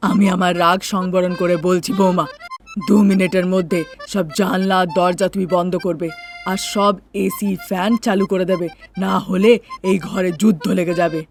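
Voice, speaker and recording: A woman's voice: fairly low pitch, flat delivery, moderate pace, soft background music, pitched below the performer's own voice, close to an everyday voice. A woman voicing a haughty, bossy, rich older mother-in-law in a cartoon story, scolding imperiously. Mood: threatening. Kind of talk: Bengali cartoon story acting.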